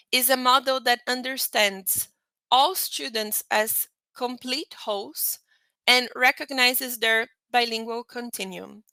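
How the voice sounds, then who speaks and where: A woman's voice: fairly high pitch, pushed and bright, dry recording. A woman, giving a conversational lecture to teachers with frequent fillers and checks for agreement. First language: Portuguese. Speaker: teacher trainer. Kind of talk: online lecture presentation.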